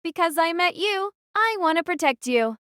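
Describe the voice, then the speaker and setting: A woman's voice: very high voice, expressive, normal speed, fairly bright tone, no room sound, clean studio sound, pitched above the performer's own voice. A woman playing an earnest, tender young female military student, protective and resolute, in an audio drama. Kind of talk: dramatized audiobook character dialogue.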